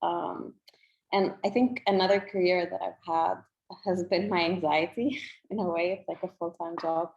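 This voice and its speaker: A woman with a lowish, creaky voice reflects casually in a panel discussion. She pauses to find her words, and the call audio is a bit dull. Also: fairly even intonation, a little mellow. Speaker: poet on a reading panel. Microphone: video call.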